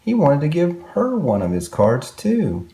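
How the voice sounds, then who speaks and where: A man's voice: on the high side, wildly swooping pitch, measured pace, mellow. A man reading a children's story aloud expressively, with exclamations and wondering asides to young listeners.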